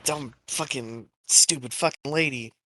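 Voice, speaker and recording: A man's voice: mid-range voice, a little slow, sharp tone, rough recording. A man playing a tabletop game, talking casually in a rambling way with heavy swearing. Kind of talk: casual in-character play.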